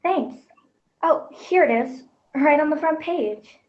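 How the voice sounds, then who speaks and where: A woman voicing a sentimental young sister in a play reading, soft and lilting, on a muffled recording. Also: sits high, expressive, slow pace, voice pushed up. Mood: wistful.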